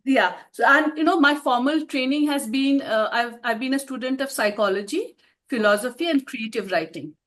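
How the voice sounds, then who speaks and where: A woman's voice: sits high, normal speed, a bit bright. A woman, an author answering interview questions in a reflective way and laughing often. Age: 50s.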